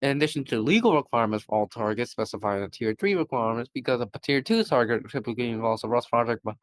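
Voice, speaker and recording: Halting man reading policy text aloud. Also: medium pitch, lively intonation, crowd noise. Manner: halting, stumbling over words. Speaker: reader.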